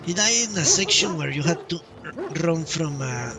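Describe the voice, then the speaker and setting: A man livestreaming a game playthrough, chatting casually with viewers in a halting, opinionated way. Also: fairly high pitch, animated. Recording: distant mic, game music behind.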